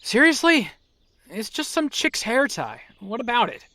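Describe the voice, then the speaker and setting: A woman's voice: on the high side, very animated, measured pace, bright, forceful tone, slight background noise, full character voice. A woman playing a sarcastic, impatient young knight recruit in an audio drama. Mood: scornful. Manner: sarcastic, dismissive.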